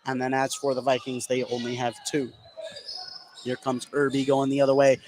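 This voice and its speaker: A man doing excitable live play-by-play of high school basketball in short running phrases. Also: normal speed, slight background noise. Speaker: play-by-play sports commentator.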